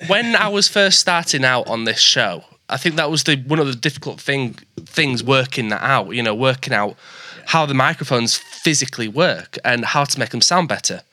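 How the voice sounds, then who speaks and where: A man with a naturally high, bright voice chats and jokes his way through a casual podcast conversation. He is recorded close on a studio microphone with a dry sound and no room echo. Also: expressive, moderate pace, hardly any pauses. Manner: chatty, joking, self-deprecating. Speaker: podcast host. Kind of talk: casual chat. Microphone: dynamic studio mic with preamp.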